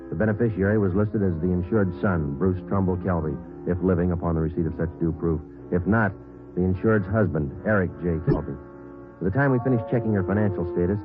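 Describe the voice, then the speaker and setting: Police sergeant, clipped and deadpan, male actor; low, brisk delivery, muffled old radio sound. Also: natural voice. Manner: deadpan, clipped, matter-of-fact. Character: terse, businesslike male police detective sergeant narrating cases. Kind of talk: 1950s radio crime drama narration. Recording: muffled vintage radio recording, music behind.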